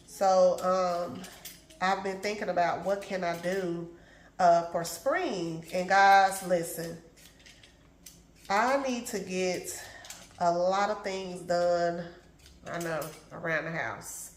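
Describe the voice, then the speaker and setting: A middle-aged woman talks to her viewers at an unhurried pace while prepping food at home, calling them y'all and baby. Her voice sounds congested. The kitchen gives her voice a noticeable echo. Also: lowish voice, steady tone. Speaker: lifestyle vlogger. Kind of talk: casual chat while cooking.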